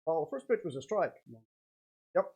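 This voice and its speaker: Folksy baseball commentator with a husky voice. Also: mid-range voice, big pitch swings, moderate pace, a little mellow. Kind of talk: casual live game commentary with chat.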